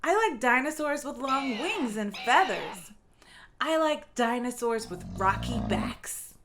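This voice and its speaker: A woman's voice: fairly high pitch, huge pitch swings, slow, noisy background. A woman reading aloud for young children in a playful, encouraging way, with short simple phrases and quiz-like prompts. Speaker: children's read-aloud narrator.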